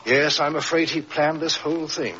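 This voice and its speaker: An actor playing a resolute, protective police inspector in an old-time radio drama, urgent and earnest. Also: male voice, a little high, steady tone, normal speed, sharp tone. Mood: explaining, grave. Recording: hissy, dull vintage radio recording.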